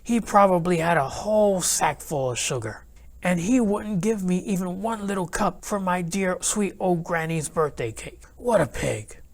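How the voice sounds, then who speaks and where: A man's voice: sits high, expressive, moderate pace. A man reading a children's picture book aloud in the first person as the wolf, chatty and playfully aggrieved. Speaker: children's read-aloud host narrating as the wolf. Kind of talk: expressive storybook reading.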